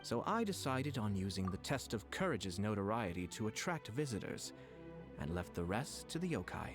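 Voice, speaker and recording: Calculating young nobleman, game. Lowish, polished, courteous, music clearly underneath. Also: very animated, normal speed. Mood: suave, satisfied. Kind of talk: video game voice acting.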